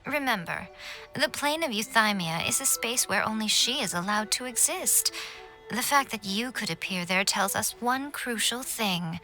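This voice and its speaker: A woman voicing a sly, poised fox spirit in a video game, speaking in a measured, formal, teasing way with silky self-assurance. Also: on the high side, lively intonation, bright, forceful tone, poor audio. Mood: coolly persuasive. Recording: music under the voice. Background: background music.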